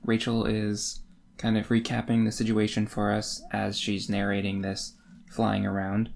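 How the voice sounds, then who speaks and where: Man in his twenties, gravelly vocal fry, casual book talk, podcast co-host. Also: fairly low pitch, monotone, unhurried, a little mellow, slight background noise. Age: 20s. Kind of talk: casual book discussion chat.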